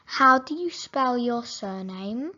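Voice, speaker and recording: A woman reading simple English phrases for learners, saying each sentence slowly and clearly, then repeating it. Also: a little high, animated, pushed and bright, lo-fi sound. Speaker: English teacher.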